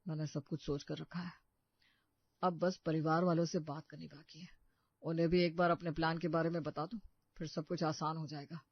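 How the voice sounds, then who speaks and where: A man's voice: fairly high pitch, level tone, moderate pace, no echo. A man playing a protective, scheming young brother in a cartoon story, with an assured, earnest delivery. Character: protective, scheming young working-class brother posing as rich suitor. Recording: muffled dry studio recording.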